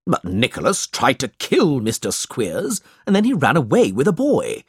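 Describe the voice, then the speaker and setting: A man's voice: fairly low pitch, big pitch swings, unhurried, breathy edge, dry recording, studio quality, full character voice. Man acting a harsh, angry older uncle. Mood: suspicious. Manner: accusing, shouting, commanding.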